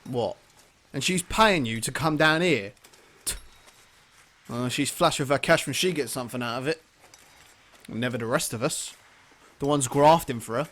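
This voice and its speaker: A man's voice: mid-range voice, moderate pace, pauses often, a bit bright, lightly acted. A man playing a weary, resentful miner and father. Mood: gruff. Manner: gruff and resentful.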